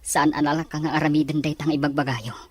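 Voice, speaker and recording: A man's voice: on the high side, steady tone, fast talker, heavy background noise, lightly acted. A man playing a troubled grown son in a radio drama, earnestly airing his complaints.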